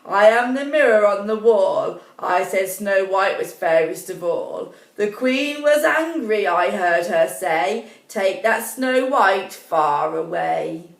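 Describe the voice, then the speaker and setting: A woman's voice: mid-range voice, lively intonation, takes it slowly, gravelly, few pauses. A woman voicing a solemn magic mirror that tells tales in dramatic rhyme for a children's story. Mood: ominous. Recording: roomy, distant microphone.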